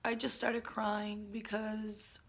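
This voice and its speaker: Hoarse woman, slow and earnest. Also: medium pitch, completely flat delivery. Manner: earnest, slow and open, toning down her natural loudness. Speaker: vlogger. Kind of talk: candid personal vlog talk. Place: at home, indoors.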